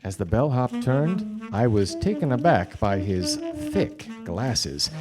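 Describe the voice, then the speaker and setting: Hardboiled 1940s detective, dry wit, lively swings of pitch, live radio play over music. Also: male voice, slow pace. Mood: wry narration. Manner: deadpan, wry. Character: wry 1940s hardboiled male private detective, dryly exasperated. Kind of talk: 1940s radio detective drama, noir narration. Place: theatre stage before a studio audience.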